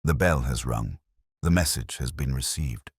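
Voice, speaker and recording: A man's voice: rumbling low, a little slow, slightly rough, no room sound, clean studio sound, voice pushed down, heavily acted. A man voicing an intense, theatrical Victorian detective in an audiobook, with declamatory, clipped phrases. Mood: grave, tense. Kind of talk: audiobook character dialogue.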